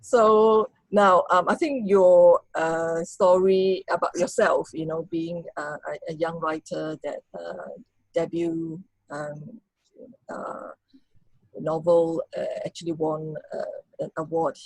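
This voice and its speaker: A woman's voice: low voice, fairly even intonation, slow pace, no room sound, low-quality recording. A middle-aged woman with a Malaysian accent, a native Cantonese speaker, hosting an interview and speaking hesitantly with many ums. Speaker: interviewer, bookstore host. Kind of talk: casual interview.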